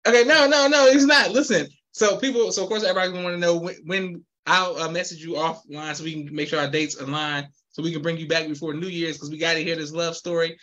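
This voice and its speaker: A man's voice: fairly high pitch, steady tone, quick pace, few pauses, sharp tone, highs cut off. A young man hosting a podcast interview over a video call, upbeat and affirming, with formal thank-yous. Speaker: podcast host. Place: indoor room with posters. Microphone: video call, webcam.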